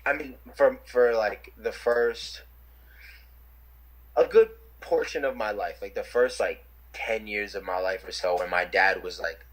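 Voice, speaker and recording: Young new guy on a video call, kinda husky, lots of "like" and restarts. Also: on the low side, unhurried, rough recording. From New York City. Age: young adult. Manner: rambling, lots of fillers and restarts. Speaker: interviewee, rapper. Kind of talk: casual chat.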